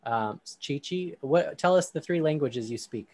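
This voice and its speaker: A man hosting a podcast discussion, talking in a relaxed way with frequent ums, then reading a Bible passage aloud. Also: on the high side, moderate pace, no echo. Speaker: podcast host.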